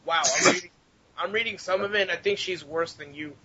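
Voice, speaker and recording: A man's voice: brisk, crowd noise. A man co-hosting a podcast, chatting casually and joking in a sarcastic, sweary way. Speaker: podcast co-host. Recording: lo-fi internet audio with noise, rumble.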